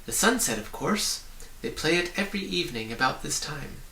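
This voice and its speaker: A man's voice: on the high side, very animated, brisk, creaky, pushed and bright, exaggerated voice. A man reading an audiobook, voicing a cheerful, eager boy guide who explains things emphatically and with wonder. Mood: eager, explanatory. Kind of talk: audiobook character voice. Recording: roomy, distant, hissy home recording.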